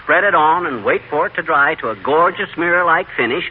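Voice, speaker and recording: A man's voice: a little high, expressive, a little fast, natural voice. A man playing a blustery, boastful middle-aged husband in a 1940s radio comedy, folksy and given to exasperation. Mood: exasperated. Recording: tinny, noisy 1940s radio recording.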